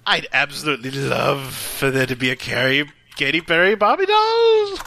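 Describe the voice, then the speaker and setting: A man's voice: on the high side, big pitch swings, moderate pace, creaky, sharp tone, slight background noise. A man co-hosting a comedy podcast, reading a fan letter aloud in a giggly, theatrical way. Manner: giggly, theatrical, swooping delivery. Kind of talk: comic reading with put-on characters.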